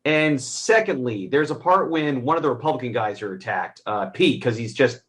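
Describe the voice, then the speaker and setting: A man's voice: medium pitch, lively intonation, moderate pace, fairly bright tone. A man giving his take in a casual movie review, rambling and circling back to rephrase. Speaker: film review panelist.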